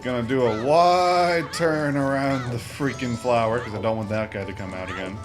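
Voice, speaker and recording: A man's voice: medium pitch, moderate pace. Young male gamer host, loose rambly commentary, game music underneath. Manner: rambling, excitable, lots of fillers and self-corrections. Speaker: gaming channel host. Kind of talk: casual let's-play commentary. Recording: game music playing behind voice. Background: video game music.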